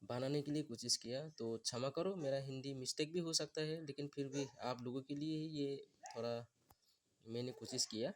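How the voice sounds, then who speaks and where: A man who is not a native Hindi speaker gives a step-by-step beat-making tutorial, talking in a halting, apologetic way. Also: medium pitch. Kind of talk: music production tutorial. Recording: beats and instrument clips playing loud.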